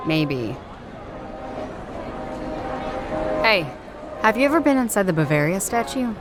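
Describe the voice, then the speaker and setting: An actress playing a sharp-witted, guarded female ex-detective in a noir video game, speaking in a dry, understated, sardonic way. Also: mid-range voice, big pitch swings, fairly quick, over music, close to an everyday voice. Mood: dry, probing. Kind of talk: scripted visual novel voice acting.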